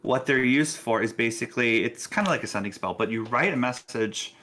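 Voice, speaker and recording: A man acts as game master for a tabletop roleplaying session. His voice is bright and clear-cut, and he explains the scene in a casual, conversational manner. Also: mid-range voice, fairly quick.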